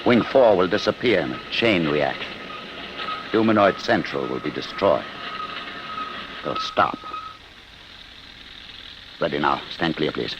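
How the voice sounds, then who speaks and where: An actor playing an embittered, obsessed old scientist in a radio drama, grave, intense and defiant. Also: male voice, extremely low pitch, expressive, unhurried, long pauses, muffled recording, full character voice. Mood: intent, determined. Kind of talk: 1950s American radio drama acting. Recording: noisy, hissy old radio recording.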